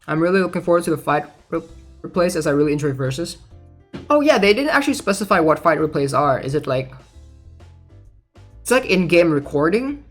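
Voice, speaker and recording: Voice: mid-range voice, big pitch swings, a little fast, pauses often, faint music behind. A gaming content creator giving casual spoken commentary on game news, sometimes reading announcements aloud.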